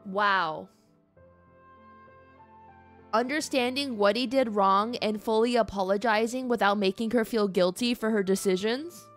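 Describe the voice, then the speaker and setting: A woman's voice: mid-range voice, unhurried, pauses often, soft background music. A woman giving casual, emotive live reaction commentary as a game streamer, close on the microphone. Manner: exclamatory, emotive.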